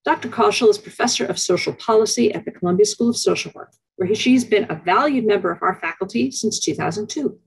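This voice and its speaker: A middle-aged woman introduces a lecture over a video call, reading from prepared notes. Her voice has a slightly husky edge, and her delivery stays measured and formal throughout. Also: mid-range voice, poor audio.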